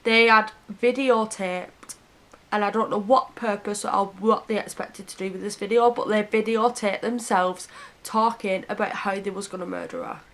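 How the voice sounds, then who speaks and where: A woman telling a true crime story to camera in an earnest, heartfelt way. Her voice is hoarse and sniffly from a cold. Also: mid-range voice, steady tone, moderate pace, a bit bright.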